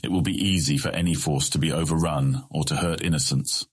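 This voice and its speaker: Fantasy audiobook merchant lord: bass-register, gravel-edged husky tone, calculating, courteous, formal, smoothly persuasive in his wording. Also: steady tone, heavily acted. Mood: patient, cautioning. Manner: formal, measured, placating. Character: scheming, measured male merchant guildmaster, politely persuasive. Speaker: voice actor in audiobook. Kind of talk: full-cast fantasy audiobook acting.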